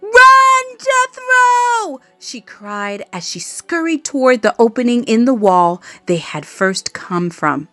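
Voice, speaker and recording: A woman reading a children's story aloud in an expressive way, drawing out words for dramatic emphasis. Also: mid-range voice, unhurried, few pauses, no room sound, soft background music. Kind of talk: expressive read-aloud narration for young children.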